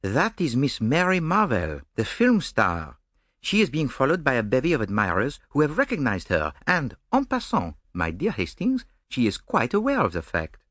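A man's voice: mid-range voice, wildly swooping pitch, moderate pace, a bit bright, no room sound, clean studio sound, voice pushed up. A man voicing a vain, fussy middle-aged Belgian detective with a Belgian French accent and a smug, theatrical manner. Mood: placid smug.

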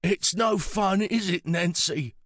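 A man's voice: sits high, takes it slowly, fairly bright tone, no room sound, studio quality, pitched above the performer's own voice, heavily acted. A man voicing a tender, anxious younger woman who speaks in an earnest, emotional and pleading way.

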